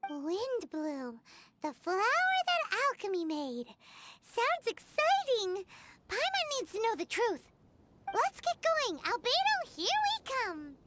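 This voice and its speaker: A woman voicing a tiny, excitable, cheeky fairy girl companion in an English video game dub, perky and childlike. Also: extremely high pitch, slow, heavy background noise, full character voice. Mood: excited. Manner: refers to herself in third person, perky.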